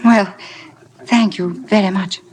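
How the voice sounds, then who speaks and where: A woman's voice: mid-range voice, fairly even intonation, takes it slowly, a little mellow, a little hiss or hum, lightly acted. An actress playing a shy, awkward young woman, a polite and apologetic paid companion, in golden age radio drama style with hesitant speech. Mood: earnest, nervous. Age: young adult. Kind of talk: golden age radio drama acting with first-person narration. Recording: vintage radio broadcast with faint music.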